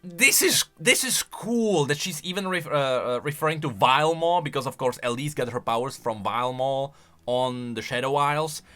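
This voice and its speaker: A man hosting off-the-cuff commentary on video game lore in an excitable, emphatic way. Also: mid-range voice, normal speed, faint music behind.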